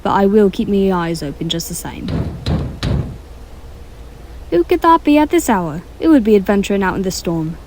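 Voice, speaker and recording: An actress playing a feisty, superstitious household maid in a radio drama, colloquial and exclamatory. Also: female voice, mid-range voice, sing-song, normal speed, long pauses, noisy background, natural voice. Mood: flustered.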